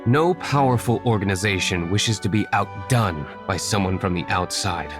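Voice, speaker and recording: Guy doing a stoic young nobleman vigilante, cool and measured, game dialogue over clear music. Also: fairly low pitch, natural voice. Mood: cool, guarded. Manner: measured, stoic, matter-of-fact. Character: cool, guarded aristocratic male vigilante hero, young adult. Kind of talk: video game voice acting.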